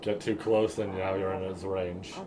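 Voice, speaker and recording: A man's voice: deep voice, level tone, moderate pace, background noise. A man casually commenting while he watches a horror video on stream, reacting nervously to what he sees. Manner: jumpy, anxious reactions. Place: room at home with a second monitor.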